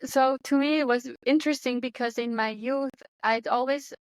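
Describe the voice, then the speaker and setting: A woman's voice: high voice, fairly even intonation, moderate pace, clear, resonant tone, no room sound. A woman with a Swiss accent in her thirties, talking as an interview guest in an earnest, searching way with restarts. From Switzerland. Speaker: guest, medium and coach. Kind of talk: casual interview conversation.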